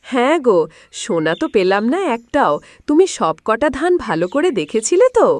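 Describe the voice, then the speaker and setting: Bossy, animated village wife. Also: female voice, on the high side, moderate pace, no room sound. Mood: urgent. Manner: scolding, emphatic, theatrical. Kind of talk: cartoon moral-story voice acting.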